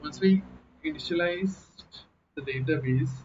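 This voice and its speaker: A man's voice: fairly high pitch, a little slow. Young Malayali man, chatty streamer, dull audio. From Kerala, India. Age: young adult. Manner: chatty, laughing, reading chat aloud. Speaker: live-stream host. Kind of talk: casual live-stream chat. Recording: slightly dull audio with faint noise.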